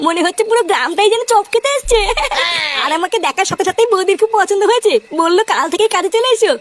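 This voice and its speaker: A woman's voice: extremely high pitch, quick pace, a little hiss or hum. A woman's voice playing a crude, brash, lazy young village lad in a comic cartoon. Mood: boastful. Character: foul-mouthed lazy young village slacker boy, brash and eager. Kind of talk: comic Bengali cartoon dubbing.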